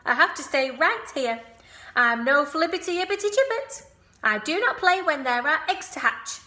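Storybook goose sitting on eggs, fussy, chattering repeats, high shrill tone, voiced by a woman. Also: animated, normal speed, pushed and bright, roomy sound. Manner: repeats words, clucking and chattering. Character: fussy broody mother goose.

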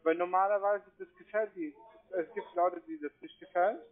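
A non-native man with a foreign accent doing persuasive sales talk over the phone, insistent, repetitive and halting in his phrasing. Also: sits high, steady tone. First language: not German. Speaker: call center agent selling trading courses. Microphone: telephone. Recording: tinny, band-limited phone line.

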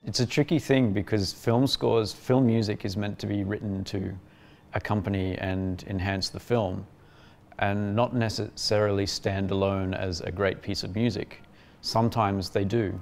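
A young man with an Australian accent, thoughtful and measured in an interview. His voice is low and gravelly with little melody, over faint music. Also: steady tone, a little hiss or hum. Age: young adult. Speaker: film music competitor. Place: indoor room with curtains.

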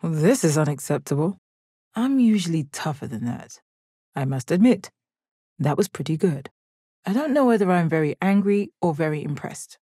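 A woman playing a stoic, confident, commanding gem warrior in a video game, with a measured, deadpan delivery. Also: sits low, husky, dry recording, studio quality, heavily acted. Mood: stern, then impressed. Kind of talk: video game character battle lines.

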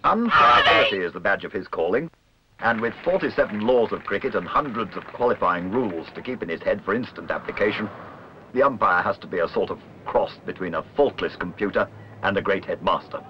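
A man's voice: lowish voice, animated, a little fast, a little hiss or hum. A man reading scripted documentary narration. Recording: muffled old film soundtrack with music. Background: music.

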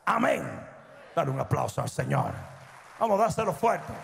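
A middle-aged male pastor preaching a sermon on a large church stage, swinging from hushed asides to shouted peaks and calling on the crowd to answer. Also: on the high side, very animated, moderate pace, vocal fry, a little hiss or hum. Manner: prompts the crowd for responses. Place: large church stage before a seated audience. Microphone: handheld microphone. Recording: long hall reverb with faint music.

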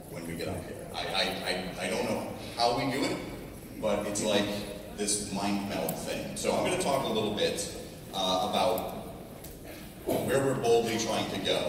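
A middle-aged American man, slightly hoarse, giving an informal conversational keynote in a large conference ballroom, picked up from the audience. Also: fairly low pitch, normal speed. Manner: conversational, restarts for emphasis, tags points with "right?". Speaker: technology executive, conference speaker. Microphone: PA heard through a distant audience camera. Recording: echoey hall, distant audience recording, noisy.

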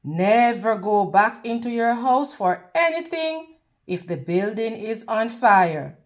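Slow, higher-pitched woman reading to children. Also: lively intonation. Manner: teacherly, prompting children with questions. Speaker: read-aloud host. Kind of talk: children's picture book read-aloud with questions to listeners.

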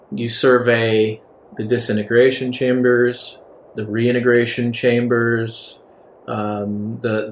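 A man running a tabletop role-playing game as game master, improvising narration in a halting, deliberate way with dramatic pauses. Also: medium pitch. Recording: roomy echo with some background noise.

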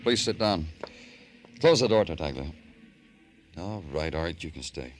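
An actor playing a terse, brooding American police lieutenant in an old-time radio crime drama. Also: male voice, low voice, animated, moderate pace, soft background music. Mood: businesslike, terse. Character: world-weary hard-boiled male police lieutenant, narrating his case.

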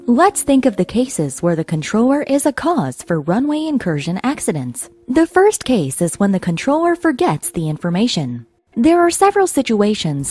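Woman, instructional narrator, somewhat high pitch, lively intonation, scripted delivery. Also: husky.

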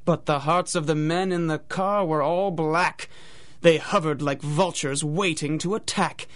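Man as a theatrical higher-voiced radio drama narrator. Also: fairly even intonation, close to an everyday voice. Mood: grave, dramatic. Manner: theatrical, measured storytelling. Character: dramatic radio announcer telling a pulp tale. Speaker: announcer and narrator. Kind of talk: rhymed storybook narration in old-time radio drama style.

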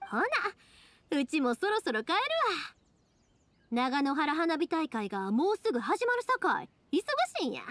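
A woman voicing a cheerful, big-sisterly young fireworks maker in an anime game, with a Kansai accent and a lively, reassuring, colloquial way of talking. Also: sits high, moderate pace, dry recording. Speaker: video game character voice actor. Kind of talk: anime-style game dialogue.

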